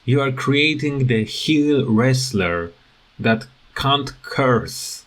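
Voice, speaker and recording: Slow, halting man in a casual rant. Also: mid-range voice, lively intonation, a bit bright. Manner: halting, hesitant, repeats words. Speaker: video creator giving commentary.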